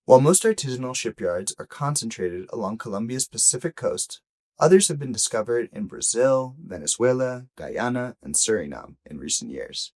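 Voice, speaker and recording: A man narrates a scripted investigative report in a mid-pitched, slightly rough and husky voice. His intonation moves in a lively way across each sentence. He phrases things deliberately, pausing to set off key points as a journalist would. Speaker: journalist reading own investigation. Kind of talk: scripted audio news narration.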